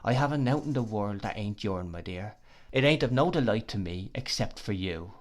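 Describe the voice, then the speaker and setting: A man reading aloud, voicing a gentle young fisherman who speaks softly in plain country dialect. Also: lively intonation, moderate pace, natural voice. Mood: tender. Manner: soft, low, rustic dialect speech.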